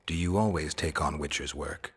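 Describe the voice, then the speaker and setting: A man playing a stoic mutant monster hunter in a video game, terse and deadpan with a dry wit. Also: normal speed, heavily acted. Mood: wry. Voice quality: husky, gravelly low voice.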